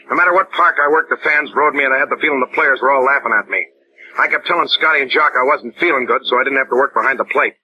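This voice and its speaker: An actor in an old-time radio drama playing a troubled, jumpy baseball umpire, halting and terse. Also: male voice, medium pitch, sharp tone. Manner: halting, terse, repeats himself. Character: troubled, jumpy male baseball umpire who has lost his nerve. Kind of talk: old-time radio drama acting and first-person narration. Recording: dull, noisy old radio recording.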